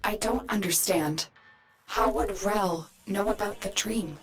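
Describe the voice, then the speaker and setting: An actress voices a regal, motherly queen from a science-fiction game. She speaks slowly and deliberately in a middle register, cool yet troubled, with faint music underneath. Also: mid-range voice, close to an everyday voice. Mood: incredulous. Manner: measured, deliberate. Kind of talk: dramatic game voice acting.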